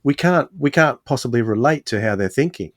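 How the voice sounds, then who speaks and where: A man's voice: medium pitch, animated, brisk, dry recording, clean studio sound. A man pondering tentatively in a casual podcast discussion, feeling his way through each thought. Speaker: podcast panelist.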